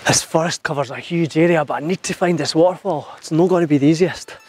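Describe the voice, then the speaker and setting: Guy, 30s, arm's-length vlog outdoors, earnest and eager, slightly high voice, faint music behind. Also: quick pace, slightly rough. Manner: earnest, gets loudly excited on finding things. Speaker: vlogger exploring waterfalls. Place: outdoors in forest and hills. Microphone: camera held at arm's length.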